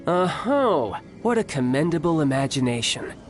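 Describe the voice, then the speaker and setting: A man's voice: fairly high pitch, big pitch swings, moderate pace, soft background music. A man playing a suave, cocky young fighter in a video game, with a smug, grandiose, theatrical delivery. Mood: smug, menacing. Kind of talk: English video game voice acting.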